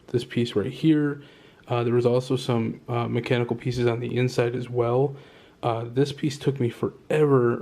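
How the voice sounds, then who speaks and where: A man vlogging casually from his condo, rambling and pausing often to find his words. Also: medium pitch, a little mellow. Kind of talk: casual show-and-tell vlog. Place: indoors at home in a condo.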